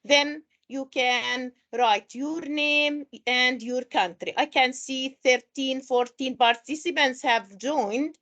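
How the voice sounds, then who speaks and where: Slow, high-voiced woman from Palestine. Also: fairly bright tone, highs cut off. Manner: slow, patient, repeats welcomes and instructions. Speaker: webinar presenter and teacher association president. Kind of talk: online teacher-training webinar.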